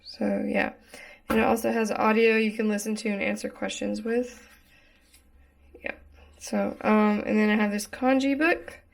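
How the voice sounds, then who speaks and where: A woman's voice: mid-range voice, flat delivery, measured pace, gravelly. A young woman from Texas, chatting casually in a vlog, hesitant and full of ums. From Texas, United States. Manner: hesitant, lots of ums and likes. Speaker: vlogger.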